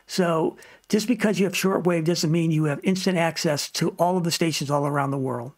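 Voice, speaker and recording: A man's voice: fairly high pitch, a little fast. A man narrating an informal instructional slide presentation in a plain-spoken, explanatory way, giving emphatic advice. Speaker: presenter of a ham radio tutorial channel.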